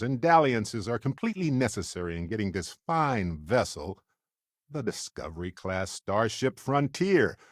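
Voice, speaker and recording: An actor playing an affable, witty, talkative space explorer in a video game, with an expressive, wry, folksy way of speaking. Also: male voice, on the low side, no echo, clean studio sound, heavily acted. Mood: wry, playful. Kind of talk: scripted video game character dialogue.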